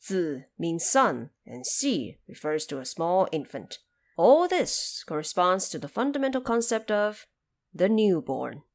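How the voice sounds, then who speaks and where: Woman playing a stern, animated old male master. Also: on the low side. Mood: pleased, instructive. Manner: commanding, deliberate, lecturing. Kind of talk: audio drama acting.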